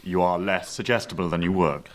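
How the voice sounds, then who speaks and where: An actor playing a detached, formal therapist with a measured manner in a radio drama. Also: male voice, low voice, noisy background, natural voice. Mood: cool, clinical. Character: detached, formal therapist, cool and dryly resigned.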